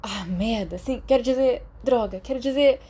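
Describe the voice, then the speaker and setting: A woman with a Brazilian Portuguese accent voicing a brash, earnest teenage schoolboy in an audiobook, with an excitable, emphatic manner. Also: on the high side, moderate pace, slightly rough, pitched above the performer's own voice. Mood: flustered.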